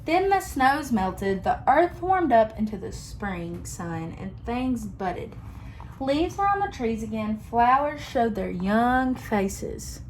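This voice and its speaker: Young teacher lady reading a storybook to her class, slow and expressive, higher voice, faint hiss. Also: rough recording. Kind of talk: reading a picture book aloud to a class.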